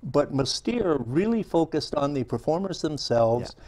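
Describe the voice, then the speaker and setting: A man's voice: a little high, sing-song, darkish tone. Chatty, eager man hosting an interview. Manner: halting, many fillers and restarts; enthusiastic, chuckles often. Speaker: talk show host. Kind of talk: casual interview chat.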